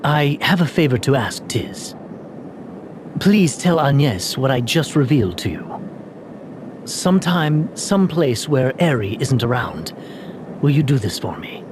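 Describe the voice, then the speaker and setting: A man playing a somber, burdened young dark knight in a video game, speaking earnestly and hesitantly. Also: medium pitch, sing-song, measured pace, background noise. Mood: earnest, pleading. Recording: game music behind voice. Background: music.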